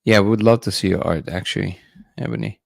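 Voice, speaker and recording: A man's voice: fairly low pitch, steady tone, fast talker, vocal fry, no room sound, clean studio sound. A man hosting a casual livestream, musing loosely and greeting viewers by name. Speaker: livestream host.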